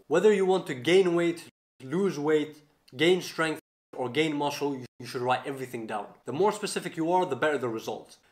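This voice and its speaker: A man in his twenties giving instructional advice to camera as a fitness coach, recorded in a roomy kitchen. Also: medium pitch. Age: 20s. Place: home kitchen, indoors.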